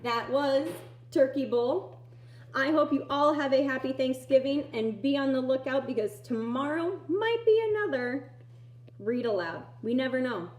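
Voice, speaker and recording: Lady doing an expressive kids book read aloud, high voice, bit echoey room. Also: measured pace. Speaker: reader of a children's picture book.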